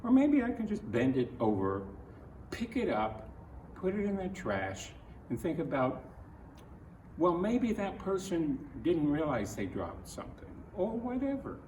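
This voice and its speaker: A man from Ohio with an American accent reads a picture book aloud to children, adding his own earnest, gentle comments in halting phrases. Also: a little high, wildly swooping pitch. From Ohio, United States. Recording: roomy echo, distant mic, faint noise.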